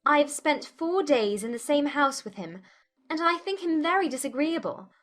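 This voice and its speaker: A woman acting a high-pitched, spirited young gentlewoman. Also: lively intonation, moderate pace. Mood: indignant, frank. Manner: frank, emphatic, formal wording. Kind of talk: dramatized audiobook dialogue.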